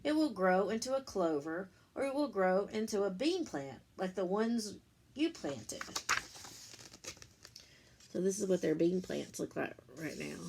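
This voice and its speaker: A woman reading a picture book to children like a teacher, in simple, deliberate phrases, pausing to explain and ask questions. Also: fairly low pitch, vocal fry, darkish tone, slight background noise. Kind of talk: read-aloud storytime with explanatory asides.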